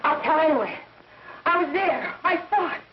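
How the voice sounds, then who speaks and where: Distraught guilty woman, extremely high voice, anguished halting delivery, 1930s film melodrama, muffled noisy soundtrack. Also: animated. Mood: urgent, agitated. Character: guilt-ridden, distraught woman confessing in court.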